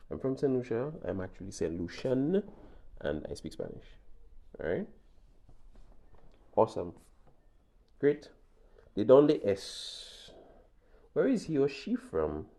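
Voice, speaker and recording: Male teacher, low gravelly voice, patient classroom explainer. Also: mellow. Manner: patient, repeats points, frequent "okay?" checks. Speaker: Spanish teacher. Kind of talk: classroom lesson walkthrough.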